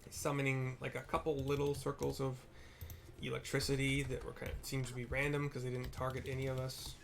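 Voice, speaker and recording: A man's voice: mid-range voice, monotone, brisk, creaky, slight background noise. Laid-back man giving casual gameplay commentary. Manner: laid-back, descriptive, informal. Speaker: gamer narrating a playthrough. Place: indoors at a computer.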